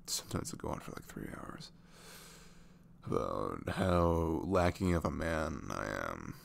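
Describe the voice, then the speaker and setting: A man playing a sweet, weary young single dad in an intimate ASMR roleplay, confiding in a halting, soft-spoken way with trailing fillers and a deep gravelly voice. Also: very animated, exaggerated voice. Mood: bitter, subdued. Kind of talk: intimate ASMR roleplay, hesitant conversational delivery.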